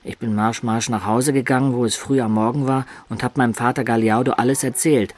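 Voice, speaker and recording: A man's voice: medium pitch, fairly even intonation, brisk, close to an everyday voice. A man playing a naive, earthy young peasant telling his story in mock-archaic dialect for a radio drama. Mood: eager, confiding.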